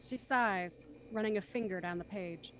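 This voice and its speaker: A woman's voice: medium pitch, moderate pace, a little hiss or hum. A woman reading scripted, present-tense narration as the narrator of a radio drama.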